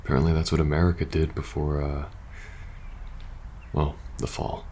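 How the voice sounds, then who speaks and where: A man playing a weary, worried young soldier in an intimate ASMR roleplay, speaking softly and hesitantly. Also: very deep voice, level tone, a little hiss or hum. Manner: hesitant, halting, sighing between phrases. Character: weary, worried young male soldier in post-apocalyptic faction.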